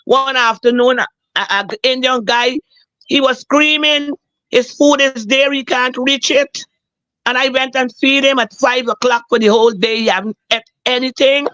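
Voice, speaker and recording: Hoarse woman caller, high bright voice, earnest and repetitive, muffled lo-fi line. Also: steady tone, moderate pace, dry recording. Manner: earnest, repeats herself for emphasis. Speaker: caller on a talk show. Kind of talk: emotional personal account.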